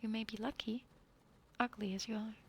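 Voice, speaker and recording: A woman's voice: on the high side, flat delivery, a little fast, gravelly, slight background noise. Whispering woman reading a storybook. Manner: soft whisper, stops to apologize and laugh. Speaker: reader.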